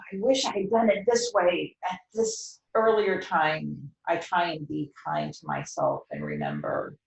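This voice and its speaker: A middle-aged woman talking reflectively and intimately about poetry, gently and contemplatively, with hesitant pauses. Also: lowish voice, creaky. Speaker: host reading and discussing poetry. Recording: roomy, slightly dull sound.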